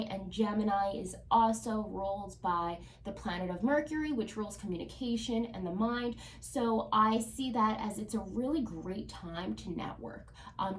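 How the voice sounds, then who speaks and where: A woman's voice: medium pitch, echoey room, distant mic, a little hiss or hum. A woman with a cold, sounding congested, chatting casually through a tarot reading with lots of ums. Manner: chatty, with frequent ums and likes. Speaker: tarot reader.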